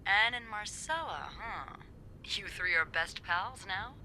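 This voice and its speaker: A playful, sardonic young receptionist in a 1950s mystery game. Her voice is strikingly bright and forward, and her pitch moves a lot as she teases and quips. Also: slow, a little hiss or hum, heavily acted. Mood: sly, casual. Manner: wry, teasing, expressive. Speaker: hotel receptionist. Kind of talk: conversational video game acting.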